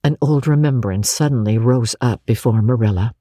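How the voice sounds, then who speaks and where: A woman narrating classic literature as a dramatic audiobook, with husky, expressive and measured storytelling. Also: extremely low pitch, no room sound, studio quality.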